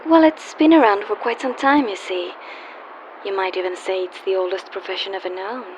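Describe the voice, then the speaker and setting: A woman's voice: mid-range voice, a little fast, close to an everyday voice. A woman playing a wry, world-weary young call girl in a radio play, teasing yet guarded and wistful. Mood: wry, worldly. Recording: dull lo-fi audio with faint hiss.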